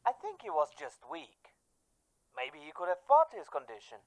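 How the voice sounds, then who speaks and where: A woman playing an energetic, folksy, opinionated man who phones in to a radio drama's show. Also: low voice, normal speed.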